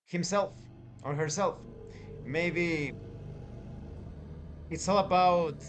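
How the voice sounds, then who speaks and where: A man giving review commentary to viewers as a channel host, speaking deliberately and emphatically in short, separated phrases. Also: fairly high pitch.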